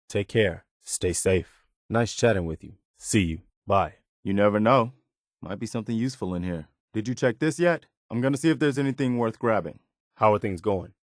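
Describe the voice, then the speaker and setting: A man's voice: lowish voice, no room sound, clean studio sound, lightly acted. An actor playing an earnest, dutiful militiaman in a post-apocalyptic video game, sincere, reassuring and plain-spoken. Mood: casual, practical. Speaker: video game companion character. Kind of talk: scripted game dialogue lines.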